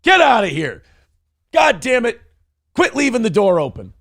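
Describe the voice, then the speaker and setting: A man's voice: very high voice, big pitch swings, normal speed, a bit bright, no room sound, studio quality. A man hosting a morning show, bantering casually and profanely, rambling and exasperated as he reads out donor messages.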